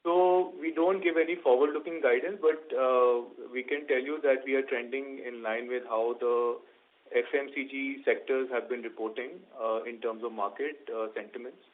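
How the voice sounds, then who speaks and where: Indian exec guy on a conference call, careful and halting, thin phone audio. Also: mid-range voice, steady tone. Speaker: company executive. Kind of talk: answering questions on an earnings call. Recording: narrow tinny conference phone line.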